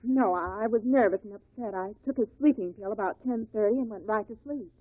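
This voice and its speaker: An actress playing a nervous, bitter widow who testifies in a hesitant, defensive way in a 1950s radio drama. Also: female voice, mid-range voice, fairly quick, no room sound, lightly acted. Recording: tinny, dry old radio recording.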